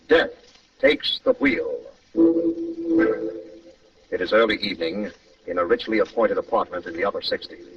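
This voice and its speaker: A man narrating as the announcer of an old-time radio drama, in a dramatic scripted style with a creaky edge. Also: lowish voice. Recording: muffled, distant, faintly noisy old radio recording.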